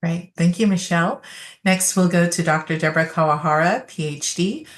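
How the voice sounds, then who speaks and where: A woman's voice: fairly low pitch, moderate pace. Woman moderating a virtual panel.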